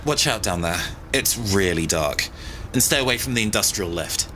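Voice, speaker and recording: A man's voice: fairly low pitch, expressive, normal speed, bright, forceful tone, a little hiss or hum. An actor playing a mild-mannered, slightly awkward tech guy in a science fiction audio drama, chatty but hesitant. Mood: cautioning.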